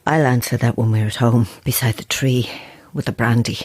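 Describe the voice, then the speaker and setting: A woman's voice: very deep voice, fairly even intonation, moderate pace, a little mellow, husky. A woman playing a composed, sceptical novelist in an audio drama, with a dry, understated and guarded manner. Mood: subdued, wry. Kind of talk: dramatic audio fiction acting.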